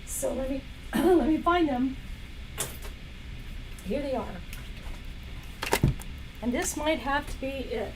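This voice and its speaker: A woman hosting a casual product demo live stream. She is losing her voice and keeps coughing and clearing her throat between chatty remarks. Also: sits high, slow, pauses often, very noisy. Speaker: live shopping stream host. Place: indoor workplace studio.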